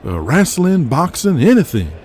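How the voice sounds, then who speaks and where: A man's voice: on the high side, huge pitch swings, normal speed, darkish tone, slight background noise, voice pushed up. A man voicing a brash, pushy male wrestling promoter in an audio drama, urgent and emphatic. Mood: amazed.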